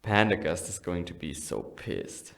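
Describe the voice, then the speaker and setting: An actor playing a worried security guard sidekick in an audio drama. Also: male voice, low-pitched, measured pace, a bit bright, heavily acted. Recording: echoey room, clear noise behind.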